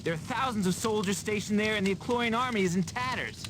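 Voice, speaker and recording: A man's voice: high voice, fast, natural voice. A man playing an indignant, combative warrior in a fantasy video game cutscene. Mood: forceful. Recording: noisy game cutscene with background ambience.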